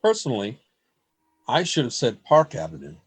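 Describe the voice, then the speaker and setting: An actor in a radio play playing an earnest, desperate man in love, with a husky voice and a dramatic, pleading delivery. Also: mid-range voice, wildly swooping pitch, moderate pace. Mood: concerned, gentle. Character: anguished lovesick man, earnest, desperate, hiding among others.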